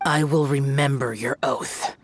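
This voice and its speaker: A man's voice: medium pitch, fairly even intonation, a little slow, no room sound, natural voice. A man voicing a stern, aloof young guardian who slays demons in a video game, speaking in a cold, clipped, judgmental way. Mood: curt.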